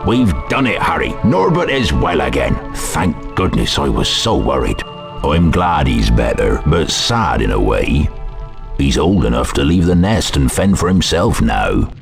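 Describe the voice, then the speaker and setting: An actor playing a big, soft-hearted gamekeeper with a gruff voice, fond and easily worried, in a video game. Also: male voice, a bass voice, sing-song, unhurried, full character voice. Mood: relieved, fond, then sad, fond. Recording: music under the voice. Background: game music.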